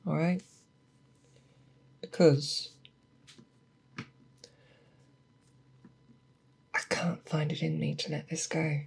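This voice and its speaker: A woman playing a seasoned, grave and protective female monster hunter in a slow, hushed, breathy ASMR roleplay, close to the microphone. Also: low voice, full character voice. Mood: grave, determined. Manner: slow, deliberate, hushed, with pauses. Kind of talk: ASMR roleplay, close soft speech and whispers. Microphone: close binaural microphone.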